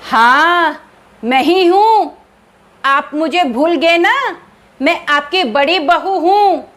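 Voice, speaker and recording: A woman putting on a shrill jealous ghost bride. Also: slow, a bit bright, exaggerated voice. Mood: eerie. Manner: shrill, bitter, accusing. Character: jealous ghost of a dead young wife.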